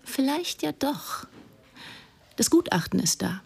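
An actress playing a stern, persistent police investigator in a German radio crime drama, with a dry, probing manner. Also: female voice, high voice, big pitch swings, slow, gravelly, a little mellow, breathy edge, full character voice. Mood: pressing, dry.

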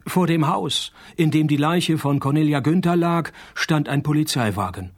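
A husky male private detective, pitched a little high for a man. He is cynical and sardonic, delivering radio play dialogue and noir-parody narration with dry, deadpan sarcasm. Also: normal speed. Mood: deadpan narrating. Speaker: lead character and narrator.

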